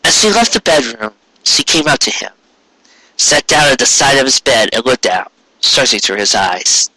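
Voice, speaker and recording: Very bright-voiced man narrating a story. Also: mid-range voice, very animated, moderate pace, dry recording, low-quality recording. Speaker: amateur audiobook narrator.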